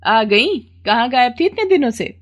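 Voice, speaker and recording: A woman's voice: a little high, very animated, moderate pace, fairly bright tone, voice pushed up. A woman voicing a haughty rich employer who scolds and gives orders in a moral story. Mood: scornful, bossy. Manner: bossy, scolding, commanding. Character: haughty rich female employer, bossy, sharp-tongued.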